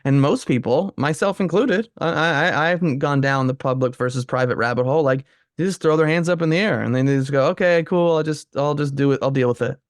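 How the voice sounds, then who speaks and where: A man's voice: on the high side, fairly quick, hardly any pauses, no echo, studio quality. A man hosting a podcast interview who talks in a friendly, rambling way with many fillers. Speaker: podcast host.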